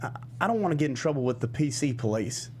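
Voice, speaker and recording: A man's voice: medium pitch, expressive, fast, a little mellow, slight background noise, close to an everyday voice. Kindly, awkward older intern played by a man. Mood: concerned, awkward. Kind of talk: comedy script table read.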